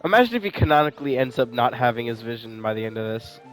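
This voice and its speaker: A man's voice: mid-range voice, expressive, fast talker, fairly bright tone, faint music behind, rough recording. A man giving casual, offhand commentary while streaming a video game.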